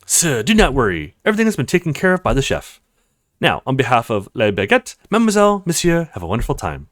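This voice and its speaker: A man voicing a grandiloquent, flattering male French restaurant host in a visual novel, florid and courtly. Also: medium pitch, fast, no echo, clean studio sound. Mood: courteous, commanding.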